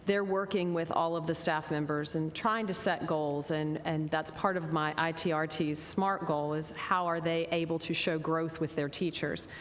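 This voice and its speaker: A woman's voice: steady tone, moderate pace, hardly any pauses. A woman on a conference panel explaining her school's professional development plans in an earnest way. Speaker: school technology administrator. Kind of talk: panel discussion answer. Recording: reverberant conference hall, faint rumble.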